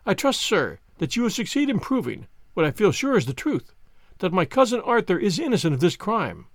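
Guy doing a worried young victorian lady, pleading and polite, high swoopy voice, audiobook. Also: very animated, fairly quick, dry recording, clean studio sound, heavily acted. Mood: earnest, hopeful. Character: anxious, pleading young gentlewoman, loyal to her cousin.

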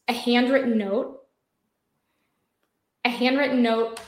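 A woman with an American accent explains her work in relaxed, rambling talk, restarting sentences and leaning on "like" and "um". Her voice sits a touch high, and the room gives it a noticeable echo. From United States. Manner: chatty, many fillers and restarts. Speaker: marketing guest. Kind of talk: conversational interview. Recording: roomy echoey sound.

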